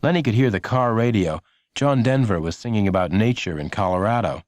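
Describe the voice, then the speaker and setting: A man's voice: lowish voice, fairly quick, no room sound, clean studio sound. A man reading a children's audiobook as a scripted story narrator.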